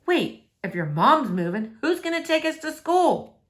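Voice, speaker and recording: A woman's voice: high voice, big pitch swings, unhurried, pitched above the performer's own voice. A woman reading the part of a brash teenage boy, a blunt and slangy best friend. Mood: blunt, curious.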